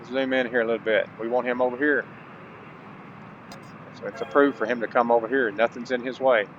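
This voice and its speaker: A man's voice: medium pitch, steady tone, a little fast. A man hosting a gameplay tutorial, talking casually to viewers with folksy asides and reading out instructions. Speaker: host of a gaming channel. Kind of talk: gameplay tutorial commentary, partly reading on-screen instructions aloud. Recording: dull lo-fi mic, faint background noise.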